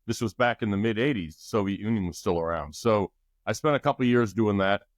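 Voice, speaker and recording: Middle-aged male guest, measured explainer, dry close recording. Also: on the low side, dry recording. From United States. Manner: thoughtful, explanatory with restarts. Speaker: guest expert. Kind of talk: podcast interview.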